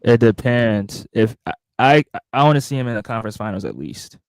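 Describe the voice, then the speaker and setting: A man co-hosting a casual sports chat, speaking in a measured, hedging way. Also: medium pitch, lively intonation, no echo.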